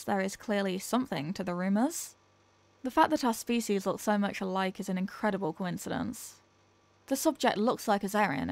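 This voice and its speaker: A woman's voice: mid-range voice, no room sound, close to an everyday voice. A woman playing a condescending female alien scientist in a scripted ASMR roleplay, speaking in a clinical, formal, dismissive manner. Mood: analytical, intrigued. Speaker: ASMR audio roleplay performer.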